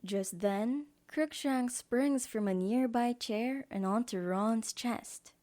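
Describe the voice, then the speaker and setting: A woman's voice: a little high, takes it slowly, warm tone. A woman reading scripted narration as the narrator of an audio drama.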